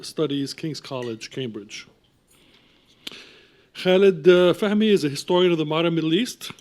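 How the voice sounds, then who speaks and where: A man giving a formal, measured introduction as the director of an academic programme, partly reading it aloud and often clearing his throat. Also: fairly high pitch, a little mellow.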